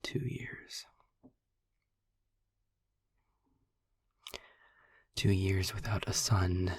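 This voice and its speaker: A man playing a sarcastic, weary imprisoned demon in an intimate audio roleplay, with a husky, gravelly, breathy voice and a dry, articulate, deliberate delivery. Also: low-pitched, no room sound, heavily acted. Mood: bitter, somber. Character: sarcastic, weary male demon, long imprisoned, dryly eloquent. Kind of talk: audio roleplay, intimate slow monologue to the listener.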